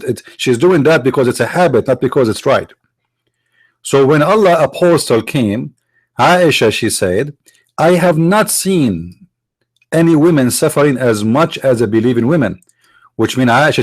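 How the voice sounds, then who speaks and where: A man whose first language is Arabic, from the Middle East, arguing in a sarcastic, mocking tone and slowing down to stress quoted phrases. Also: mid-range voice, dry recording. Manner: sarcastic, mocking rhetorical questions. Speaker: apologist speaker. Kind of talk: argumentative lecture.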